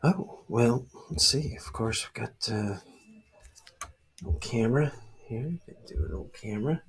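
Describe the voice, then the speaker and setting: A man speaking softly for ASMR close to the microphone, breathy and often near a whisper, in a hesitant, rambling murmur. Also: medium pitch, a little slow, a little hiss or hum. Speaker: ASMR creator playing a spy dad showing gear. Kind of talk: ASMR roleplay, soft-spoken.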